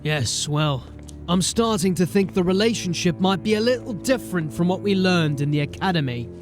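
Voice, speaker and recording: A man acting a gruff, authoritative sergeant of a city watch in a fantasy audio drama, with clipped speech. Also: sits high, lively intonation, normal speed, over music. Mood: brooding.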